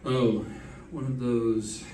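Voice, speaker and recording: A man's voice: mid-range voice, flat delivery, extremely slow, mellow. A man playing a pompous, tipsy photographer sidekick in an old-time radio drama, talking in a flowery, arch, theatrical way. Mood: dry, affected. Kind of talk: old-time radio comedy-mystery acting. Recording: echoey room, distant, background noise.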